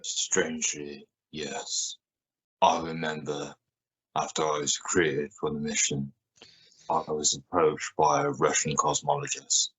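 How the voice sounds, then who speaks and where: A man playing a level-headed space mission crew member in an amateur radio drama, measured and matter-of-fact. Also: extremely low pitch, flat delivery, a bit bright, slightly rough, exaggerated voice. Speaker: amateur radio play actor. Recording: noisy home recording with clicks.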